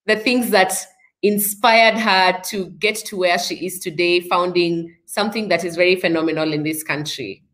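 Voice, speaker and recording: A woman's voice: on the low side, steady tone, moderate pace, fairly bright tone. A Kenyan woman hosting a podcast interview over a video call, with upbeat, speech-like introductions. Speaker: podcast host. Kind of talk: live online interview.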